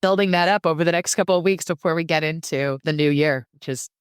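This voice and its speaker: Enthusiastic woman, smooth dry voice. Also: deep voice, quick pace, dry recording. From San Francisco and Germany. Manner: enthusiastic, often repeats words as she starts a phrase. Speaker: podcast guest. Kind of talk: casual interview talk.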